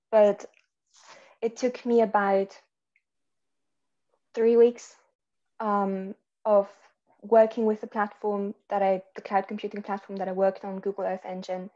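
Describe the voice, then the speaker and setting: A woman's voice: mid-range voice, dark tone. A woman from Austria, a guest journalist explaining her work in a panel over a video call. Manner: measured, explanatory, many ums. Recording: slightly muffled call audio.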